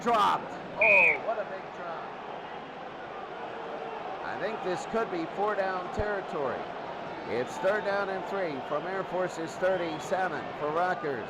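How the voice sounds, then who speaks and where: A man's voice: high-pitched, slow. A man calling a football game as a live play-by-play commentator. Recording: loud crowd and noise behind commentary. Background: loud crowd, stadium-like noise.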